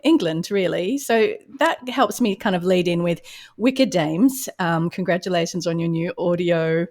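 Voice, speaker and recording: A woman's voice: on the low side, unhurried, a little mellow. A woman speaking as a podcast host and interviewer. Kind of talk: scripted introduction, then conversational interview.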